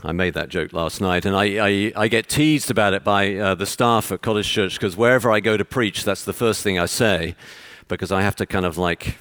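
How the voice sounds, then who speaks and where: A man with a Texan accent preaching a conference sermon in an earnest, conversational way, pausing as he goes. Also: low-pitched, fairly bright tone, no echo, clean studio sound. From Texas. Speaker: visiting preacher. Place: church conference.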